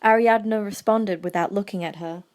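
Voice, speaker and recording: A woman's voice: medium pitch, moderate pace, darkish tone. A woman reading scripted third-person narration for an amateur audio drama.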